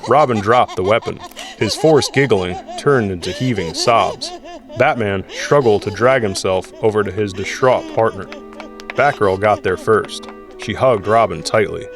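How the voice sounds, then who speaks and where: Low-pitched man narrating over music. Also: unhurried. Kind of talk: dramatic scripted audio drama narration. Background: dramatic music.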